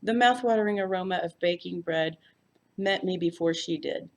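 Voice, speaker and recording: Lower-voiced woman reading a mystery. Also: normal speed. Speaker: volunteer audiobook reader. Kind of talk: first-person mystery novel read aloud.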